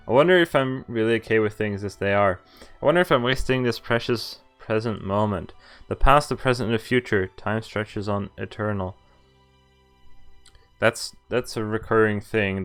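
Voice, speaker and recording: A man's voice: on the low side, normal speed, a bit bright, clean tone. A man streaming a visual novel, reading the text aloud and dropping in casual, mildly sweary asides. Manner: reacts with laughs and mild swearing between read lines. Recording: music behind the voice.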